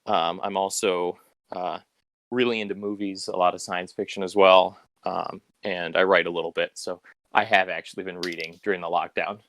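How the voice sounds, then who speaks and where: A young man hosting a casual, unscripted podcast, rambling a little as he thinks out loud. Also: sits low, level tone, moderate pace, no echo. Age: young adult. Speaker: podcast host.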